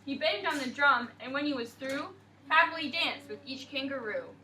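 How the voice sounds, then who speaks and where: High, bright-voiced woman teacher. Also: normal speed, recorded from a distance, a little hiss or hum, low-quality recording. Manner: expressive, sing-song storytelling. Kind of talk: classroom read-aloud and questioning. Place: classroom.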